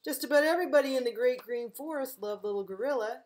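A middle-aged woman reading a picture book aloud to young children, gentle and expressive, as a librarian at home. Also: high voice, fast. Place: living room at home, indoors.

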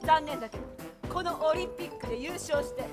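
Haughty high-pitched young prosecutor played by a woman. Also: fast talker, lightly acted. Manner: imperious, scornful. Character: haughty, sharp-tongued young female prosecutor. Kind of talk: theatrical stage play acting. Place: theatre stage. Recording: stage music behind, theatre recording.

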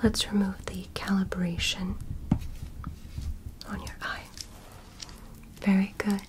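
A young woman playing a calm, curious female alien medical examiner in an ASMR roleplay, in a soft, breathy whisper with a gentle clinical manner. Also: medium pitch, noisy background, close to an everyday voice. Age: young adult. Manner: slow, gentle, clinical, politely instructing. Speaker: ASMR performer. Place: small bedroom set, indoors. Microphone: close microphone.

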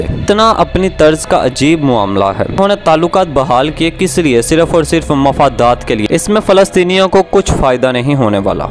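A man giving an earnest, indignant voice-over news commentary, putting rhetorical questions to the listener. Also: fairly high pitch. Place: voice-over, not recorded at the pictured scenes.

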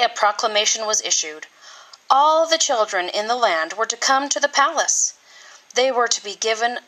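A woman reading a children's storybook aloud with deliberate, expressive, dramatic phrasing. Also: a little high, poor audio. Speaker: teacher reading a picture book.

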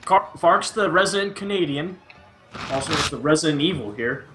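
20 yr old texan guy, slightly high voice, chatty, jokey. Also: lively intonation, normal speed, over music. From Texas, US. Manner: talkative, wisecracking. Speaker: co-streamer. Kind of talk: casual chat.

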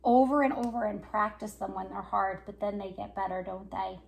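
A woman's voice: medium pitch, moderate pace, vocal fry, husky. A woman, talking to young pupils at a children's story time. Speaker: school staff member reading a story to children. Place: living room at home. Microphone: camera or phone a short distance away.

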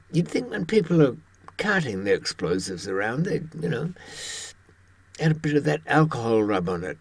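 A man in his seventies giving a rambling monologue to camera with wry, dark humour, often hesitating as he searches for words, in a husky voice. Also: fairly low pitch, dry recording. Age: 70s. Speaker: host recounting experiences from a criminal past.

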